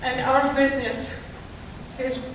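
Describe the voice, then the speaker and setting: A woman voicing a confident woman fashion designer, speaking in a measured, assured way. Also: high-pitched, level tone. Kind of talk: spoken-word poetry performance. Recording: echoey hall from far off, noisy.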